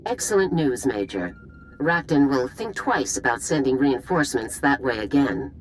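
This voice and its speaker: A woman playing a stern, decisive general giving orders in a video game, formal and crisp. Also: extremely low pitch, animated, normal speed, a little hiss or hum, low-quality recording. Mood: businesslike. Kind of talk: video game cutscene acting.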